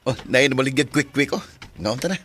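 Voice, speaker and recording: A man's voice: mid-range voice, quick pace, over loud music, close to an everyday voice. A young man with a Cebuano accent, acting in a radio drama as a young man asking forgiveness. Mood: apologetic. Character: cocky young man turned apologetic.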